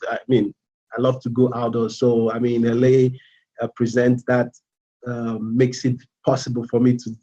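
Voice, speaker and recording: A man in his thirties from Nigeria with a Nigerian accent, a student giving a casual live presentation, halting with fillers and repeats. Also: medium pitch, warm tone, dull audio. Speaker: MBA student.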